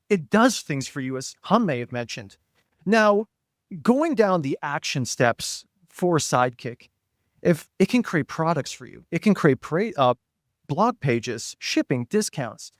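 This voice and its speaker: A man's voice: a little high, no echo, studio quality. A man moderating a panel, explaining things conversationally and addressing the audience directly. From Toronto, Canada.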